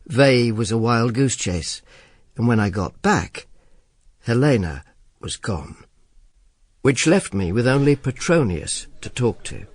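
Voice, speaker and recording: Sardonic informer, expressive delivery. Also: male voice, medium pitch, takes it slowly, no echo. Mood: dry narration. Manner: sardonic, deadpan. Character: wry, jealous Roman informer, sardonic and stubborn. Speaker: first-person narrator and lead character. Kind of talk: radio drama acting with dry narration.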